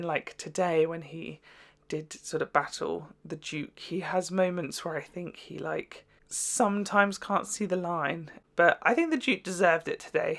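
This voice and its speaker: A young woman hosting a reaction video, giving a casual spoken review in a chatty, rambling way full of fillers. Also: on the low side.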